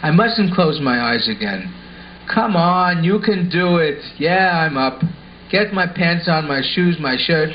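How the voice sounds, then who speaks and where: A man's voice: fairly high pitch, expressive, unhurried. A man playing an earnest, sensible schoolboy in a scripted puppet show, explaining things instructively. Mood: sleepy, self-urging. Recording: dull lo-fi sound with faint noise.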